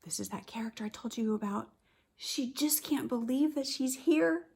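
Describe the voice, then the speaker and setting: A woman teacher reading a picture book aloud to young children, expressively and deliberately, drawing out her words. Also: high voice, creaky, husky. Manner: deliberate, animated storytelling with drawn-out words.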